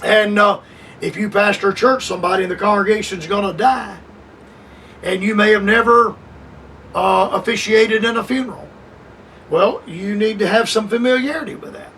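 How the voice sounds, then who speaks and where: An older man teaches scripture on a radio broadcast in a high, earnest voice. He talks in measured phrases, repeating words and pausing on uh. The mic sits a little far off, with faint hiss behind him. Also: fairly bright tone. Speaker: radio preacher.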